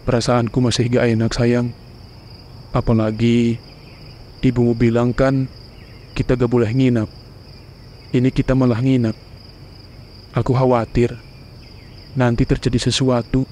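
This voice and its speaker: A man voicing a worried young husband in a horror cartoon, who speaks in a calm, flat, reassuring way. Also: mid-range voice, unhurried, long pauses, a little hiss or hum, close to an everyday voice.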